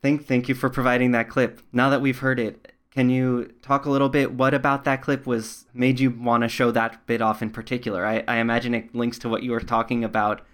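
A man hosting a conversational interview in a measured, reflective way. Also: mid-range voice, flat delivery, a bit bright, clean tone. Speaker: interviewer, podcast host.

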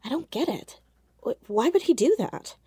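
A woman's voice: a little high, animated, moderate pace, warm tone, husky, no echo, clean studio sound. An actress playing a determined, questioning American teenage girl investigator in an audio drama, earnest and direct. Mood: puzzled, questioning. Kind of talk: naturalistic audio drama acting.

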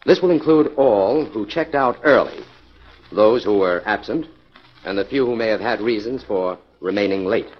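An actor playing a calm, confident crime investigator in a 1940s radio drama, speaking in a measured, authoritative way. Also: male voice, fairly low pitch, husky. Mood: businesslike. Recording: muffled, noisy 1940s radio recording.

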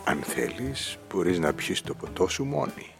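An actor playing a smooth wealthy married businessman in a radio drama, talking unhurriedly and insinuatingly, often dropping to a breathy murmur. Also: sits low, animated, over music. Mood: suave, seductive. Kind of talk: Greek podcast radio play acting.

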